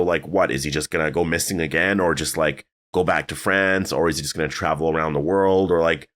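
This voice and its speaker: A man commentating casually on a video channel, thinking aloud with many fillers, his voice raspy and a bit strained. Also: low-pitched, fairly bright tone. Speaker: YouTube commentator.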